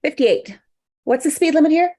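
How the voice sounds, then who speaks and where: A woman's voice: high-pitched, lively intonation, moderate pace, warm tone, no room sound, studio quality. A woman playing a spirited, self-doubting woman in a comedy script read, in a natural conversational manner. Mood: playful. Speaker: actor in an online script table read. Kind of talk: live comedy screenplay table read.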